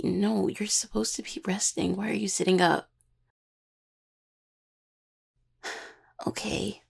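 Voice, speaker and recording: Husky, creaky, anxious young necromancer played by a woman. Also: medium pitch, a little mellow, heavily acted. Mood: tender, anxious. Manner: stammering, hesitant, earnest. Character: anxious young student necromancer, devoted worried partner. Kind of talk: audio roleplay acting.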